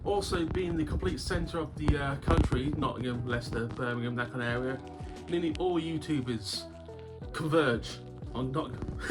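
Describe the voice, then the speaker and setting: Sore-throated man, slightly high voice. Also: big pitch swings, a little slow. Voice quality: slightly muffled by a cough sweet in the mouth. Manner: rambling, wry, chuckles often. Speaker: YouTube game collector host. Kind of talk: casual chat vlog. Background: background guitar music.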